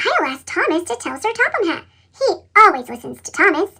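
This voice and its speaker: Woman as an earnest, chipmunk-high little steam engine. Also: sing-song, brisk, creaky, bright, forceful tone, heavily acted. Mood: eager. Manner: puffing, eager, naive. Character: earnest, lonely young male steam engine. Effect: sped-up chipmunk voice effect.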